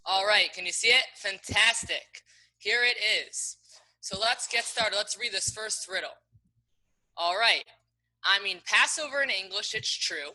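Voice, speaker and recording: Bright-voiced woman hosting a riddle game. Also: medium pitch, unhurried, rough recording. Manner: upbeat, friendly instructions. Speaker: game host for an online Hebrew school. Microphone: video call.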